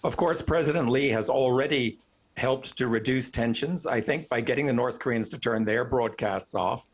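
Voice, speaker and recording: Articulate man giving expert analysis, mid-pitched. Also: moderate pace. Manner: articulate, opinionated, explanatory. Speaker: professor, expert news guest. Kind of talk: analytical news interview commentary.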